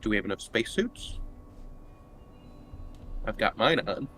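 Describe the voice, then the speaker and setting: A man's voice: big pitch swings, a little slow, fairly bright tone, faint music behind. A man chatting casually as a player at a tabletop roleplaying game. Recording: noisy, with low rumble.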